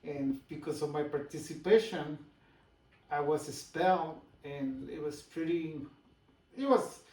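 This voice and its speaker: A man in his sixties from Guatemala with a Spanish accent, telling his story haltingly in a casual interview. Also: on the high side, darkish tone, roomy sound, recorded from a distance, poor audio. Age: 60s. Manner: halting, personal storytelling with pauses and restarts. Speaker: business owner guest.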